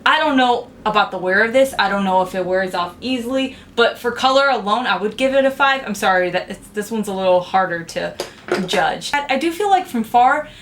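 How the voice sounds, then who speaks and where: A woman's voice: mid-range voice, expressive, brisk, slight background noise. Chatty young woman reviewing makeup. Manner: chatty, frank, filler-heavy with "like". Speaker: beauty vlogger. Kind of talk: casual makeup review to camera.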